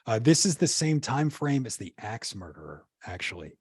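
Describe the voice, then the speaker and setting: Man, podcast guest, casual storyteller, lots of fillers, mid-pitched, dry close sound. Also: sing-song, moderate pace, darkish tone, breathy edge, no echo. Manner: rambling, many restarts and fillers.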